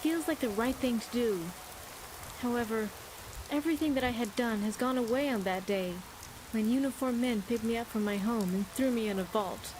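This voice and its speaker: A fairly high-pitched young female vault survivor , played by a woman, confides in scripted game dialogue. She is earnest and wistful, with careful, formal wording. Rainy ambient noise sits faintly behind her voice. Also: moderate pace, lightly acted. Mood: reflective. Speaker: game companion character.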